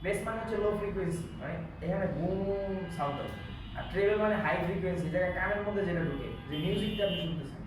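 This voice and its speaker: A man's voice: sits high, racing, music in the background. A man with a Bangladeshi accent teaching an interactive electronics repair class, explaining and often asking check questions. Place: classroom, indoors. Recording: echoey room, distant mic, background noise.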